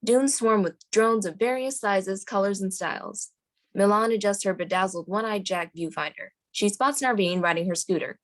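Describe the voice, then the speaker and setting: Woman reading out stage directions, mid-pitched, scripted narration for a table read. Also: normal speed.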